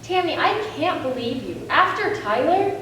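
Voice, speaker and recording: A woman's voice: high voice, big pitch swings, normal speed, fairly bright tone. A woman playing a protective, reproachful young woman in a readers theater drama. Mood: upset. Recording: echoey hall, distant, faint noise.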